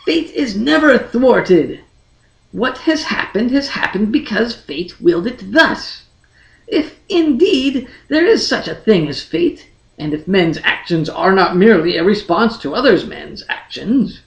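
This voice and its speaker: A man's voice: high-pitched, very animated, a little slow, creaky, reverberant, full character voice, rough recording. A man voicing a devoted, frank young noblewoman in a fantasy audiobook, earnest, formal and persuasive. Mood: insistent. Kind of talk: dramatic fantasy audiobook character reading.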